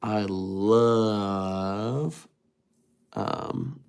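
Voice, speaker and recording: A man with a low, gravelly voice hosts a relaxed livestream, reading out viewer messages as they come in. He rambles and restarts his sentences, leaning on filler words as he talks things through. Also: extremely slow. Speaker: livestream host reading chat. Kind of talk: casual livestream chat.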